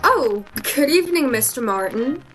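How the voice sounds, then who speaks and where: Woman acting haughty, high-pitched young shop woman. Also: expressive, normal speed, fairly bright tone, faint music behind, poor audio. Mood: curt, guarded. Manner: haughty, cutting, theatrical. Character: proud, scornful young shop woman in vintage romantic comedy. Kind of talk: vintage radio play acting.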